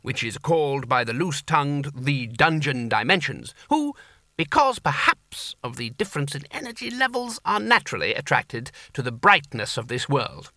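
A man's voice: medium pitch, very animated, moderate pace, sharp tone, no echo, clean studio sound. A man voicing a fussy, rambling wizard law lecturer who hesitates and stitches together fractured clauses. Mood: flustered. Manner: flustered, hesitant, wandering clauses with ums.

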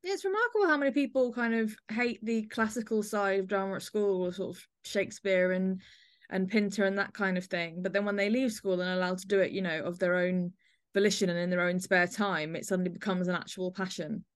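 A woman's voice: medium pitch, a little fast, clean tone, no room sound, studio quality. A woman hosting a conversational interview, speaking in an effusive, complimentary way. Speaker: podcast host interviewing a playwright.

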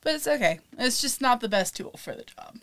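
A young woman co-hosting a podcast, chatting casually with lots of fillers and laughs, a little raspy. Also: a little high, lively intonation, brisk, a bit bright. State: slightly raspy from vaping. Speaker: podcast co-host. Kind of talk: casual chat.

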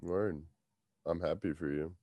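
A man's voice: sits low, fairly even intonation, measured pace, dark tone, no room sound. A young man co-hosting a sports podcast, sounding stuffy, laid-back with dry humor and short replies. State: stuffy, voice a bit rough. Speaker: podcast co-host. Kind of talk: casual sports podcast chat.

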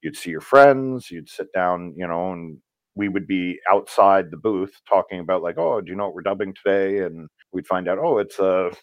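A man who works as a voice actor, talking casually in an interview and telling stories in a relaxed, rambling way with fillers. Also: deep voice, moderate pace, no room sound. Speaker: voice actor guest.

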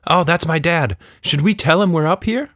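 A man doing a lively little girl. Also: fairly high pitch, normal speed, no echo, clean studio sound, voice pushed up, close to an everyday voice. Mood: eager. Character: curious, lively young girl.

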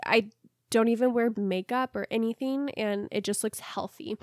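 A woman's voice: fairly high pitch, normal speed, no echo. Young woman, stuffy nose, casual chatty podcast co-host, dry close sound. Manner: chatty, relaxed, rambling with fillers. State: stuffy, congested. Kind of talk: casual chat.

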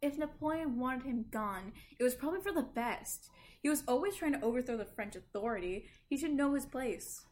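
A woman's voice: sits high, expressive, fairly quick, few pauses, a little mellow. A woman playing a haughty, dismissive male French sugar planter who co-hosts a history roleplay podcast. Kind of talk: amateur scripted roleplay.

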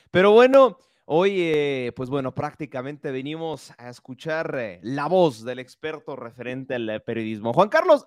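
A man's voice: a little high, no echo, clean studio sound. A young man hosting a podcast interview, chatty and friendly, with frequent hesitations. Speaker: podcast host.

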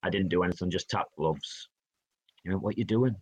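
A man from the of England with a low voice talks casually in an interview. He tells long anecdotes and quotes other people's lines back in dialogue. His tone is easygoing and self-deprecating. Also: fairly quick, highs cut off. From Northeast England. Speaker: guest, hockey player.

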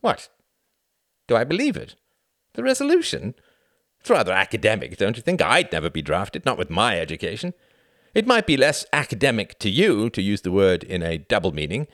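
A man's voice: on the low side, very animated, brisk, fairly bright tone, no room sound, studio quality, voice pushed up. A man voicing a haughty, spiteful, well-educated young upper-class brother in an audiobook, theatrical, sneering and condescending. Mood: mocking. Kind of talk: audiobook character acting.

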